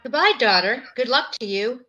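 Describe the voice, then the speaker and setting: A woman's voice: a little high, lively intonation, normal speed, music in the background, natural voice, low-quality recording. A woman in an amateur radio play acting an anxious, loving mother who pleads and frets. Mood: tender. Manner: pleading, fretful. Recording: loud hiss and rain noise.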